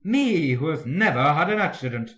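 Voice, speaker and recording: A man's voice: fairly high pitch, very animated, moderate pace, a bit bright, roomy sound, pitched above the performer's own voice. A man voicing a pompous, huffy big male steam engine for a storybook, spluttering with indignation. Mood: indignant, huffy.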